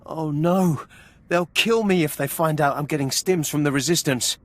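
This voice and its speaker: A man's voice: fairly high pitch, moderate pace, natural voice. Jumpy, naive young prison guard, played by a man. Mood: frightened pleading. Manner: nervous, pleading, jumpy. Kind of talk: video game voice acting.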